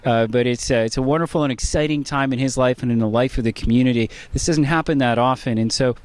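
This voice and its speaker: Male interviewer, easygoing and friendly, mid-pitched, outdoors. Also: fast, darkish tone, no room sound. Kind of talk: casual interview. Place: rooftop outdoors.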